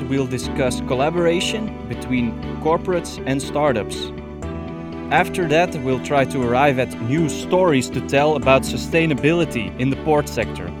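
Man reading a promo over guitar. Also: fairly high pitch. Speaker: announcer. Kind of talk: scripted promo read. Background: guitar music.

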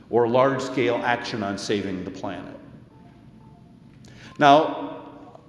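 Male preacher, mid-pitched, conversational sermon delivery with rhetorical questions, strong room echo. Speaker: pastor. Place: reverberant church during a service. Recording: echoey church with long reverb.

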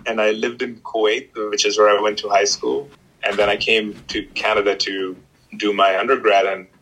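A man's voice: lowish voice, little pitch movement, a bit bright, husky, rough recording. A man in his thirties from Pakistan, a guest talking casually with frequent restarts and fillers. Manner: halting, many restarts and fillers. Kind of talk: casual interview chat.

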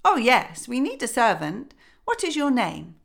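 A woman reading a fairy tale voices the lady of the house, who speaks loudly and bossily. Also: fairly high pitch, wildly swooping pitch, unhurried, gravelly, voice pushed up, exaggerated voice. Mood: brisk. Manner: loud, emphatic, bossy. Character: the lady of the house, brisk and commanding.

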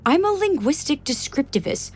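A woman's voice: sits high, very animated, moderate pace. A woman playing an earnest, wordy young academic in a comic audio drama, with an American accent and emphatic delivery. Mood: nervous, earnest. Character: earnest, nerdy, indignant young woman linguist.

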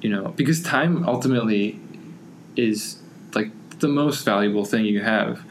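A young man in easy conversation, circling his thoughts and peppering nearly every phrase with "like." His voice sits fairly low. A faint background hiss stays under the talk. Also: normal speed, rough recording. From United States. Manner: rambling, heavy use of "like" fillers. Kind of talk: casual conversation.

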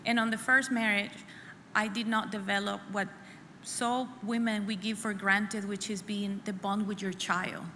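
A middle-aged woman from El Salvador, sharing her personal testimony at a church women's conference in an earnest, halting way, often repeating phrases. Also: medium pitch, flat delivery, sharp tone. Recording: echoey hall with faint room noise.

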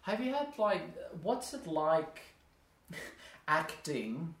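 A man's voice: a little high, sing-song, slow, fairly bright tone, echoey room. A man, interviewing casually, rambling and searching for his words. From Australia.

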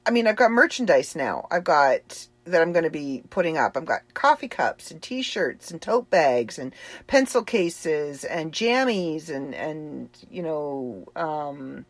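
Chatty low-voiced Boston woman. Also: expressive, measured pace, no room sound. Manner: chatty, rambling, laughs easily. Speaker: author and livestream host. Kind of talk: casual personal update.

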